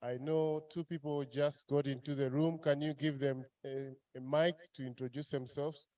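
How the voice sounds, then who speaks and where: A man's voice: a little high, little pitch movement, moderate pace, mellow. A man facilitating a regional meeting, giving announcements with many fillers and restarts.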